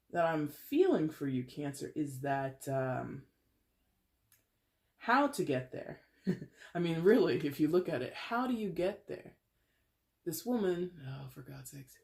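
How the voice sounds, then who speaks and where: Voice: mid-range voice, a little mellow. Congested tarot reader with a head cold. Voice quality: dry throat, clears it often. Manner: chatty, rambling, self-correcting with small laughs. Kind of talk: casual tarot reading to camera.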